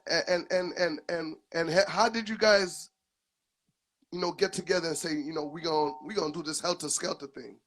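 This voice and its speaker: A man's voice: a little high, fairly even intonation, normal speed, fairly bright tone. A man hosting an interview, stammering and repeating words as he builds his questions. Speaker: interviewer, host. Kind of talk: casual interview talk.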